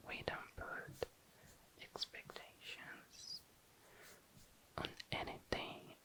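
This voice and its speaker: A woman softly whispering in an unhurried way, narrating a craft session for an ASMR video in breathy, unvoiced speech. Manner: soft, unhurried, repeats phrases.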